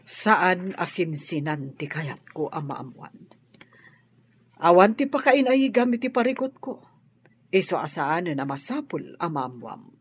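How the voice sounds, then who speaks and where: A woman's voice: fairly low pitch, very animated, unhurried, husky, full character voice. A woman playing an earnest, well-off madam in an Ilocano radio drama, searching for her past. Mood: guarded. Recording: dull sound with music behind.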